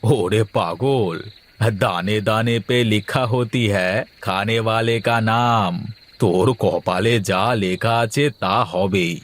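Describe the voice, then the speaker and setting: A man's voice: fairly low pitch, slow. A man voicing a kindly, protective older merchant boss in a cartoon. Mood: kindly, reassuring. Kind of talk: Bengali cartoon dialogue.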